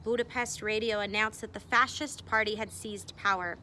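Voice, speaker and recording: A woman reading a memoir aloud expressively, with deliberate phrasing and dramatic emphasis. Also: medium pitch, fairly even intonation, pushed and bright, slight background noise. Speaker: reader.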